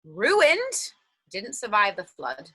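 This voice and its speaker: A woman's voice: mid-range voice, wildly swooping pitch, slow, bright, forceful tone, husky, full character voice. An actress playing an anxious but determined woman on the run in a stage drama, speaking in a theatrical, insistent way. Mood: wistful, playful. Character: anxious but determined woman fleeing a flooded underground shelter. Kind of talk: dramatic stage-play dialogue.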